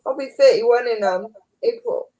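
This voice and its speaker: A woman's voice: on the high side, moderate pace, warm tone, rough recording. A woman in her thirties, a guest on a live stream, chatting casually and telling small stories. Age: 30s. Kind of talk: casual chat.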